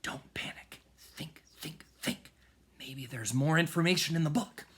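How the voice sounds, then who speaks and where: A man voicing a frightened, anxious young boy adventurer from a storybook, urgent and ranging from hushed to panicked. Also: fairly high pitch, a little slow, slightly rough.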